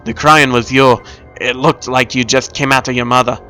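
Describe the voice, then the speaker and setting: A man's voice: medium pitch, fairly even intonation, moderate pace, sharp tone, faint music behind, natural voice. A man voicing a sorrowful old crab father with old sailor phrasing in a cartoon story.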